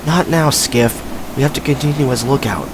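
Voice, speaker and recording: A man playing a calm, reassuring young boat driver in an amateur audio drama. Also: medium pitch, moderate pace, background noise, close to an everyday voice.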